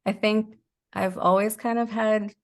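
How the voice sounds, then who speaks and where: A woman's voice: mid-range voice, level tone, unhurried. A woman speaking as a guest in a casual interview, candid and self-deprecating. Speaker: interview guest, actor and writer.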